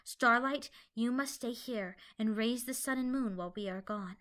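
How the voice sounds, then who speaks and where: A woman's voice: fairly high pitch, moderate pace, fairly bright tone, lightly acted. A woman voicing a regal, caring pony princess who speaks in a formal, decisive way in a fan audio drama. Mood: reassuring.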